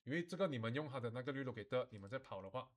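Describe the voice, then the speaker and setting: Guy teaching animation software live, normal male pitch, casual, flips between languages, keeps checking ppl get it. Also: animated, very fast. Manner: switches between Mandarin and English, repeating explanations; informal, checks understanding often. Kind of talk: live software tutorial, explaining step by step to students.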